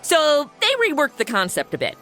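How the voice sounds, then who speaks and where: A woman's voice: high-pitched, huge pitch swings, normal speed, fairly bright tone, soft background music, full character voice. Madison, a woman playing a wisecracking modern girl. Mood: snarky. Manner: wisecracking, sarcastic asides. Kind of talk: comedy audio drama acting.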